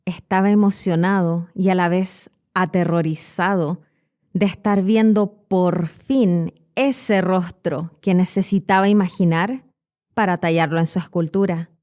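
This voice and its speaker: A woman's voice: lowish voice, steady tone, a little mellow. Chilean woman narrating a spooky tale. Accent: Chilean Spanish. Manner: clear, measured storytelling, slowing for suspense. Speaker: podcast host and Spanish teacher. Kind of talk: storytelling narration for learners.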